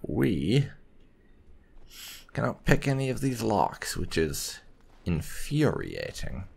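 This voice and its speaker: A man with a very low, gravelly voice hosts a casual game playthrough. His delivery is wry and sardonic, with pitch that moves around a lot. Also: huge pitch swings, slow pace, darkish tone. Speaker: gaming video host and player.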